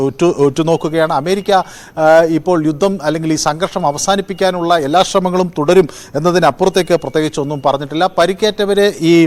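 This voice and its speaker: Halting Kerala male reporter, higher pitch. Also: a little mellow. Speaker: news reporter. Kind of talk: unscripted live news report.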